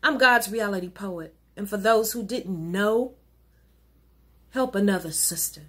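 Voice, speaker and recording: A middle-aged woman minister reciting an inspirational rhyming poem in an earnest, rhythmic, exhorting way, with a slightly husky voice. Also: mid-range voice, a little slow, bright, forceful tone. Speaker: minister and poet. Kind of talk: recited rhyming spoken-word sermon poem. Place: wood-paneled living room at home.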